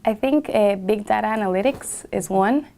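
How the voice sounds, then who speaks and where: A woman's voice: mid-range voice, moderate pace, gravelly, music in the background. A young woman from Guatemala, a graduate student intern, describing her internship in an interview with frequent hesitations and fillers. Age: young adult. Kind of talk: interview testimonial.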